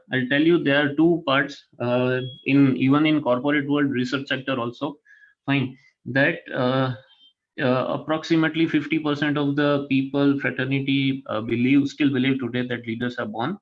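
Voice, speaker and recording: A man from Gujarat with a Gujarati Indian accent and Gujarati as his first language, giving an informal, halting lecture to students as a guest speaker, often checking in with a short tag question. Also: mid-range voice. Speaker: guest speaker, corporate HR manager. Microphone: online video call. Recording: dull lo-fi online call audio.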